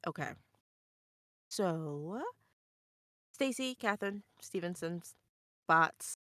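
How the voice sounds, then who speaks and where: A woman playing a tabletop game over a video call, speaking in drawn-out phrases that trail off quietly. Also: lowish voice, lively intonation, dry recording, studio quality. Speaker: tabletop player. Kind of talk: in-character improv play over video call.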